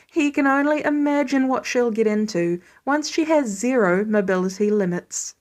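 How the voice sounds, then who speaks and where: A woman's voice: a little high, normal speed, darkish tone. A woman reading a scripted story aloud as an audiobook narrator. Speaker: fan fiction audiobook reader.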